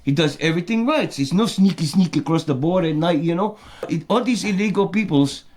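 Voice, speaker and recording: A man doing a worked-up middle-aged immigrant with a heavy put-on Portuguese accent, riding a high-pitched voice. He rants in broken English and keeps calling himself by his own name, like a comic character monologue. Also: fairly quick, a little mellow, close to an everyday voice. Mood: boastful. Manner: broken English, talks of himself in third person.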